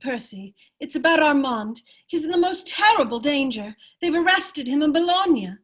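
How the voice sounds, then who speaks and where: A woman's voice: high voice, expressive, normal speed. A woman playing an anguished, proud wife, earnest and pleading, in a radio play table read. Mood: anxious, pleading.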